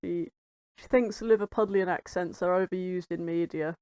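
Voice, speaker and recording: A woman's voice: lowish voice, fairly even intonation, normal speed, dark tone, clean tone, no room sound. A woman with a London-influenced English accent chats casually as a podcast co-host, joking as she tells little stories. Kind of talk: casual chat.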